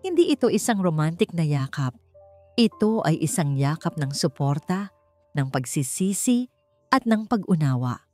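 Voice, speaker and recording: A woman storyteller reading dramatic scripted narration with solemn, deliberate phrasing. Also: sits low, big pitch swings, warm tone, no room sound, soft background music.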